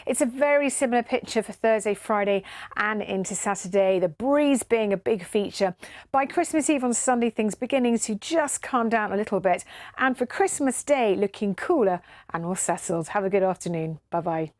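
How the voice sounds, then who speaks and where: A woman's voice: mid-range voice, lively intonation, moderate pace, a little mellow, dry recording, clean studio sound. A woman presenting a broadcast weather forecast.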